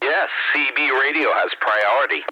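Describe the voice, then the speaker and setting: A man's voice: medium pitch, normal speed, lo-fi sound. A man bantering casually and teasingly over CB radio, swearing now and then. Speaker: CB radio club regular. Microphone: CB radio microphone. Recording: noisy band-limited CB radio transmission.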